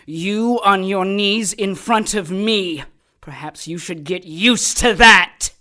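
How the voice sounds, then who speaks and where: A man's voice: sits high, slow, bright, forceful tone, full character voice. A man playing a smug, taunting villain in an audio drama, mocking and theatrical.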